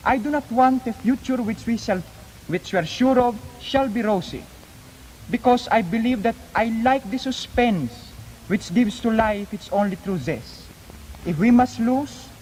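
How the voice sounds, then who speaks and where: A young man, an exchange student, delivering an earnest, formal prepared speech in measured rhetorical phrases. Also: sits high, a little mellow. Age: young adult. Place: large reverberant hall. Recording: 1950s archive, echoing hall, background noise.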